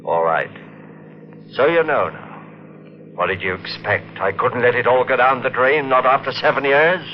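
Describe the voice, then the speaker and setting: An actor playing a greedy murderer who narrates his own crime in a 1950s radio drama, smooth and menacing. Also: medium pitch, normal speed, lightly acted. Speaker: narrator and lead. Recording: dull old radio recording with faint hiss.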